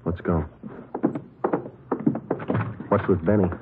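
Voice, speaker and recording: An American actor playing a stoic police detective sergeant in a 1940s radio crime drama, speaking in clipped, deadpan sentences. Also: male voice, sits low, slow, heavy background noise. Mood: brisk, terse. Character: stoic, businesslike male police detective sergeant narrating cases. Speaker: lead actor and narrator. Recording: muffled vintage 1940s radio, music behind.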